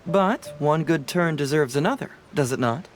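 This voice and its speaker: A man's voice: on the high side, animated, moderate pace, soft background music, natural voice. A man acting a breezy young detective. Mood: playful. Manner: playful, casual, self-assured. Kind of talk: video game voice acting.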